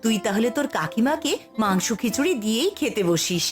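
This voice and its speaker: A woman plays a caring village mother in a cartoon. Her gentle voice is fairly high, with music behind it. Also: animated, brisk, lightly acted. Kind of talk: cartoon voice acting.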